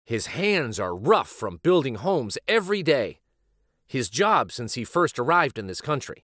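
A man narrates a children's storybook with hugely varied, swooping intonation. He takes his time over the phrases, and the recording is close and dry. His delivery is expressive and deliberate. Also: mid-range voice, dry recording. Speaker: children's read-aloud host and storyteller. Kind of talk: expressive picture book narration.

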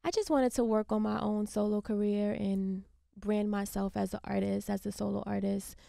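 A young woman from Harlem talks in a soft, laid-back way, with a gravelly edge under her voice. She sits at a studio boom mic, and the sound is dry and close. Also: fairly even intonation, moderate pace, no echo. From Harlem, New York. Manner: laid-back, chatty, laughs often. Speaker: guest artist. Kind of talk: casual interview chat. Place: radio station studio. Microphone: studio mic on boom arm.

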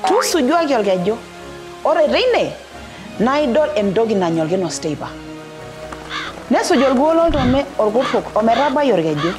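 Children's storyteller: woman, 30s, Kenyan accent, native Maasai, very animated delivery, music underneath. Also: a little high, moderate pace, pauses often. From East Africa. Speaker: storyteller, host. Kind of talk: children's storybook reading and presenting. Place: studio set, small furnished room with bookshelves, indoors.

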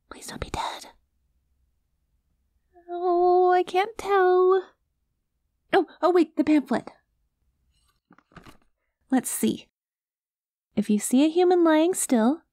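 Soft, high-voiced earnest alien girl played by a woman. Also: dry recording, clean studio sound. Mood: anxious, flustered. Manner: earnest, flustered, talks to herself. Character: cute, earnest, excitable young alien girl on a rescue mission. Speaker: audio roleplay performer. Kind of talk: ASMR roleplay acting, soft-spoken.

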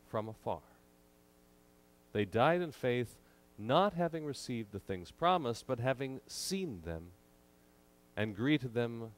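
A man in his thirties preaching a sermon from a church pulpit, measured and emphatic with frequent pauses, into a pulpit microphone. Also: mid-range voice. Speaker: pastor.

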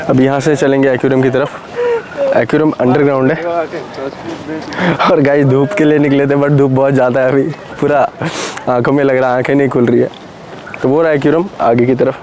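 A man's voice: mid-range voice, flat delivery, brisk, long pauses. A young man in his twenties casually narrating a travel vlog, talking to his viewers as he walks around a lakeside and an aquarium. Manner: chatty, addresses viewers as guys while walking. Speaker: travel vlogger. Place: outdoors by a busy lakeside, then an underground aquarium. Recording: loud crowd and street noise. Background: crowd chatter and outdoor noise.

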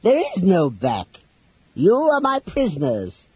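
A man's voice: high-pitched, huge pitch swings, a little mellow, husky, no room sound, voice pushed up, full character voice. A man voicing a pompous, menacing goblin officer in a fantasy story, sneering out his commands. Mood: gloating menace. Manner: blustering, sneering, drawn-out commands.

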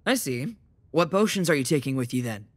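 A man's voice: fairly high pitch, animated, a little fast, noisy background, close to an everyday voice. A man playing a wry, questioning new vampire in an audio drama, with a dry manner. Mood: skeptical.

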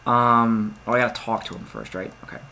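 A man's voice: medium pitch, fairly quick, a little hiss or hum. A young man playing a point-and-click game, reading its text aloud and joking about it. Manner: reads game text aloud, jokey and excitable asides. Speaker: let's play gamer. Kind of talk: casual let's play commentary.

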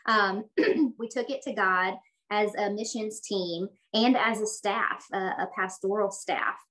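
A woman's voice: mid-range voice, a bit bright, low-quality recording. A woman in her thirties hosting a church interview over a video call, friendly, with many ums. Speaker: church missions host. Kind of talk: interview introduction. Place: office room.

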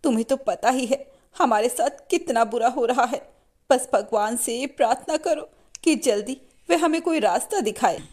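A woman's voice: on the high side, normal speed, a little mellow, close to an everyday voice. A woman voicing a long-suffering, outspoken poor mother in a cartoon story, earnest and plaintive. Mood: reassuring.

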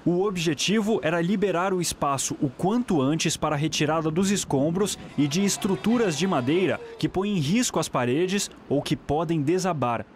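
A man's voice: fairly high pitch, slight background noise. Male field reporter on a city street. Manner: measured, formal delivery. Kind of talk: scripted news report. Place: outdoors on a city street by a train station. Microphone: handheld reporter mic.

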